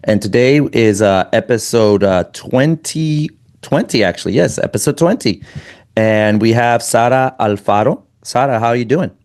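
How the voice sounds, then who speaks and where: A man hosting a running podcast, chatting casually and rambling with many halting restarts. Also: mid-range voice, lively intonation, darkish tone. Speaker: podcast host. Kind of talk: casual interview chat.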